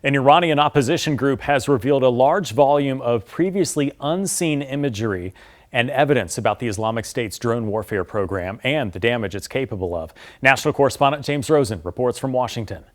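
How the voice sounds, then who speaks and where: A man's voice: mid-range voice, a little fast. A man with an American accent reading a scripted newscast as an anchor. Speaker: news anchor.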